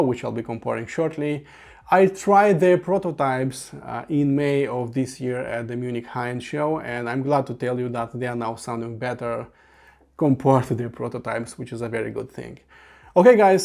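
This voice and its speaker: A man's voice: mid-range voice, gravelly, a little mellow. A man from Romania with a Romanian accent, a native Romanian speaker, reviewing headphones in an earnest, methodical voice-over with frequent uh fillers. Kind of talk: scripted product review voice-over.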